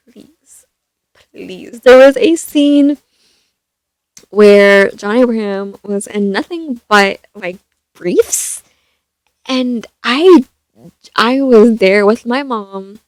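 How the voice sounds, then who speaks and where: A young woman with a soft voice chatting casually about a film, rambling with lots of fillers and restarts. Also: fairly high pitch, dry recording, poor audio. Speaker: vlogger reviewing a film. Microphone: stand mic.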